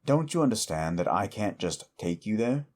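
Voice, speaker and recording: A man's voice: on the low side, big pitch swings, normal speed. A man playing a scornful, exasperated demon. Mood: menacing, hushed. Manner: swings from hushed menace to exasperated ranting. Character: scornful lawful-evil male demon, bitter and mocking. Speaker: voice actor in an audio roleplay. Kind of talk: audio roleplay addressed to the listener.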